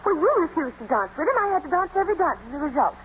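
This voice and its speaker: Impassioned, defiant young gentlewoman, very expressive pitch, haughty, on a dull vintage radio recording. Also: very fast, a little hiss or hum. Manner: haughty, heated. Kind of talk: 1940s-50s radio drama acting. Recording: muffled old radio broadcast.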